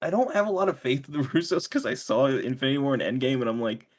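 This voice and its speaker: A man's voice: medium pitch, sing-song, fast talker. A man co-hosting a podcast in casual chat, making wry, skeptical remarks and laughing mid-sentence. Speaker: podcast co-host.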